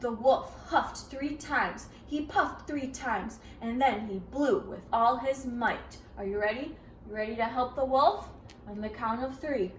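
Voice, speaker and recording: A woman reading a storybook aloud to children in an expressive, interactive way, with dramatic gasps and prompting questions. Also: fairly high pitch, unhurried. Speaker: children's story reader, teacher. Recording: roomy, distant, faint background noise.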